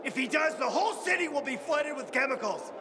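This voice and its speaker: A man's voice: very high-pitched, expressive, fairly quick. An actor playing a defiant, desperate condemned man declaiming passionately to a crowd in a video game drama. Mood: defiant outrage. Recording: echoing hall, loud background noise.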